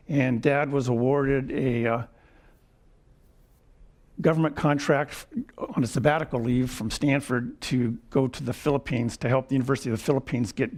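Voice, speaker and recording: A man in his seventies giving a lecture with personal stories, wry and chuckling, often clearing his throat. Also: medium pitch, a little mellow. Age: 70s. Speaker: guest lecturer. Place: lecture hall.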